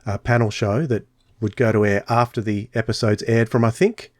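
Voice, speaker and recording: A man's voice: fairly low pitch, steady tone, normal speed, darkish tone, dry recording, studio quality. A man hosting a podcast, chatting casually and telling stories with many fillers. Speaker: podcast host.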